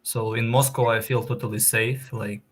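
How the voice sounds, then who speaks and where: A young man from Kazakhstan chatting as a guest on a call, in halting English full of fillers. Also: mid-range voice, steady tone, breathy edge. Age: young adult. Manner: halting, filler-heavy non-native English. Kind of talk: casual video call chat.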